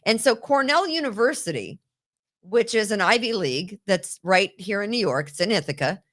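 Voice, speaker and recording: A woman hosting a political talk show, talking in an indignant, rapid way and often repeating herself. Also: medium pitch, expressive, a bit bright. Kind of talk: opinionated political chat. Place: New York.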